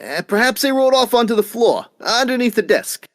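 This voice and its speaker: Guy doing an old radio gangster boss, smooth n condescending, swingy higher voice, really dry sound. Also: fairly quick, dry recording. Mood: uneasy. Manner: calm, commanding, condescending. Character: smooth, ruthless male crime boss of a gang. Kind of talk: old-time radio crime drama acting.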